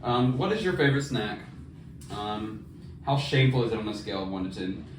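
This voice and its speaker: A man's voice: fairly low pitch, a little fast. A man co-hosting a casual podcast, chatting in an informal, jokey, self-deprecating way. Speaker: podcast co-host. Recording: roomy, distant mic, faint noise.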